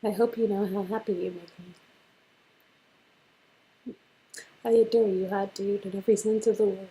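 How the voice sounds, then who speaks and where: A woman playing an affectionate, teasing young female lover in an amateur romance game reading, with a breathy, playful delivery. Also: medium pitch, level tone, normal speed, warm tone, poor audio. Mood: tender.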